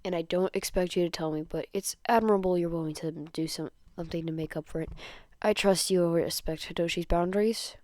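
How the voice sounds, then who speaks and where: Woman as a quiet, weary male teacher. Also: on the low side, fairly even intonation, no echo, studio quality, natural voice. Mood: calm, restrained. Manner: quiet, measured.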